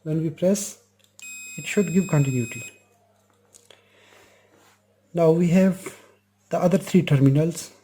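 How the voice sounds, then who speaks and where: A man with a slightly high but mellow, soft-edged voice talks through an electronics repair. He explains each step slowly, in short and plain sentences, as if showing a viewer exactly what his hands are doing. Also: a little hiss or hum. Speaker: electronics repair channel host. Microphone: lapel mic.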